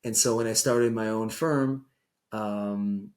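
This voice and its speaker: Guest interviewee: middle-aged man, Israeli accent, lower pitch, halting unscripted delivery. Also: steady tone. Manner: hesitant, many fillers and restarts. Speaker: immigration attorney, guest. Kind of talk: casual interview conversation.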